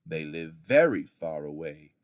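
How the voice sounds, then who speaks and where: A man's voice: very deep voice, sing-song, measured pace, no echo, studio quality, full character voice. A man voicing a captive African man in a storybook who plainly explains their grim fate to a boy. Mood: grave, explaining.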